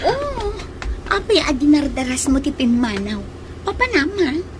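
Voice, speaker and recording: A woman's voice: high-pitched, sing-song, unhurried. A woman playing a pushy, scheming young rival in love in a radio drama, with a suspicious, needling manner. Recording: faint noisy ambience behind.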